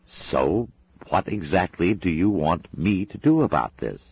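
A man voicing a homely middle-aged landlady who chides gently and soothingly. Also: deep voice, very animated, a little slow, breathy edge, no room sound, muffled recording, pitched above the performer's own voice, heavily acted. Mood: puzzled.

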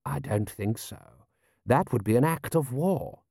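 An imperious storybook prince, as a male reader plays him, haughty and scornful. He takes his words slowly and deliberately, in a dry, close recording. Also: medium pitch, expressive, vocal fry, dark tone, husky, no room sound, voice pushed up, full character voice. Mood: cold. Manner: haughty, measured. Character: proud, imperious, contemptuous foreign male prince.

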